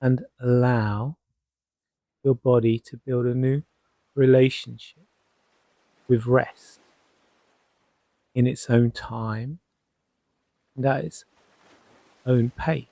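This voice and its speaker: A man guiding a sleep hypnosis session as a hypnotherapist, soothing and gently reassuring, leaving long pauses between short phrases. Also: mid-range voice, steady tone, no room sound. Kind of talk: guided sleep hypnosis narration.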